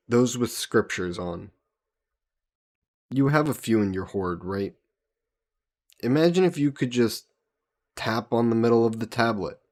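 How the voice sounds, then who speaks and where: A man's voice: on the low side, lightly acted. A man playing a friendly, patient human hero from Earth in a roleplay audio drama, explaining things conversationally to a listener.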